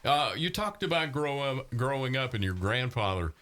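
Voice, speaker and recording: A man's voice: medium pitch, big pitch swings, moderate pace, fairly bright tone. A man, chatting casually and reminiscing in a folksy, emphatic way. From rural southern US, grew up on a farm. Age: 60s. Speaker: radio veteran and podcast host.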